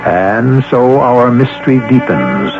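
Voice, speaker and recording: Music runs behind a man narrating an old radio mystery on a muffled broadcast. He speaks slowly and theatrically, musing in deliberate rhetorical questions. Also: medium pitch, sing-song.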